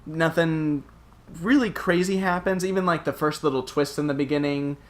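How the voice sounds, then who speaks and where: A man's voice: fairly high pitch, normal speed. A man co-hosting a casual gaming podcast, talking informally and peppering his explanations with filler words like "like". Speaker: podcast co-host.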